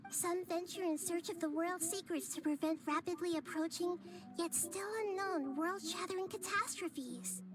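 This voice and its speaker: A gentle, wise young goddess speaks in a high, soft and thoughtful voice. She sounds calm and earnest, with game music playing behind her. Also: normal speed. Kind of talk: game cutscene voice acting.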